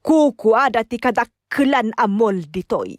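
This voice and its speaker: A woman's voice: fairly high pitch, lively intonation, unhurried, dry recording. An actress with an Ilocano accent playing a protective, worried mother, hurt and indignant, in a radio drama. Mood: indignant, distressed. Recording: dry studio with background music.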